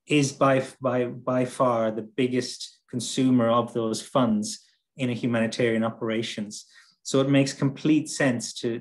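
A man giving a conference presentation over a video call, speaking conversationally with many fillers and restarts. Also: mid-range voice. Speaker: presenter from a humanitarian logistics nonprofit. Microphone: computer mic on a video call.

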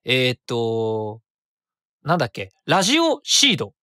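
A man's voice: mid-range voice, clear, resonant tone, no echo, studio quality. A man in his thirties hosting a solo web radio show, rambling casually and correcting himself as he goes. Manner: rambling, self-correcting, quick and animated.

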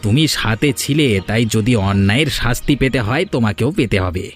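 A man's voice: medium pitch, a little fast, natural voice. Man acting grumbling selfish village husband. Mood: grumbling, irritated. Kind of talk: Bengali cartoon dialogue.

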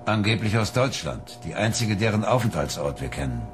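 An actor playing a businesslike police inspector giving a briefing in a radio drama. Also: male voice, fairly low pitch, expressive, brisk, music in the background, close to an everyday voice.

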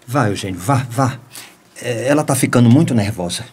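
A man's voice: medium pitch, expressive, normal speed, a little mellow, husky. A man playing a concerned, fatherly priest in a radio soap opera.

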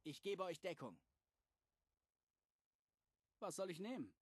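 An actor playing a loyal, battle-ready companion fighter in a fantasy video game. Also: male voice, high-pitched, big pitch swings, takes it slowly, breathy edge, dry recording, exaggerated voice. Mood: dutiful. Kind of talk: video game character lines.

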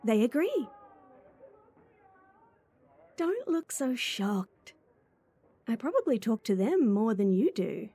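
A woman's voice: fairly high pitch, big pitch swings, slow pace, pauses often, warm tone, husky, heavily acted. A woman voice actor playing a playful, teasing, clingy schoolgirl childhood friend in a chatty ASMR roleplay.

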